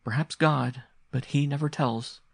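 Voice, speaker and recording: A man voicing a bitter, disappointed mother explaining things to her child in a measured audiobook delivery. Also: mid-range voice, fairly even intonation, creaky, slightly rough, no room sound, clean studio sound, voice pushed up. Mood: resigned, bitter. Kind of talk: literary audiobook character voice.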